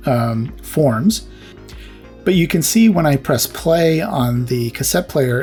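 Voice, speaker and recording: A man's voice: medium pitch, a little mellow. A man hosting a retro tech repair video, explaining things step by step in a casual, hands-on way. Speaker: host of a retro computing repair channel.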